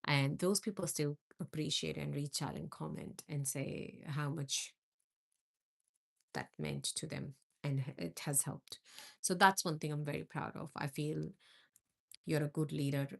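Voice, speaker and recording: A woman's voice: low-pitched, steady tone, unhurried, vocal fry. A middle-aged woman from India hosting a live stream, chatting with viewers and answering their comments. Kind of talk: casual live-stream chat.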